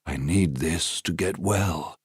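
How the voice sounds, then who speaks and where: A man voicing a weak, ill king who talks feebly in a low, quiet voice. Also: slow pace, husky, no room sound, studio quality, full character voice. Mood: weak, ailing.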